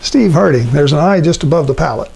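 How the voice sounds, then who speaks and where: An older man demonstrating a watercolor painting as an instructor, talking himself through each step with frequent ums and pauses. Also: a little high, vocal fry, husky. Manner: relaxed, thinking aloud with many ums. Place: art studio at a painting table.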